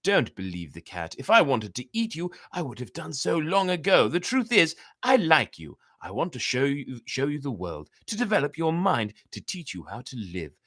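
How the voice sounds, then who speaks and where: A man voicing a sly, flattering fox from a storybook, coaxing his prey in a sing-song way. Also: fairly high pitch, moderate pace, few pauses, no room sound, clean studio sound, voice pushed up, full character voice.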